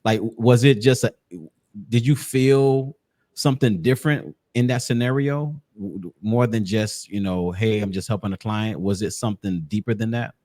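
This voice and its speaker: Low-voiced man hosting a podcast, close and dry. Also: normal speed, a little mellow, no echo. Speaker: podcast host. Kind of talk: casual conversational interviewing.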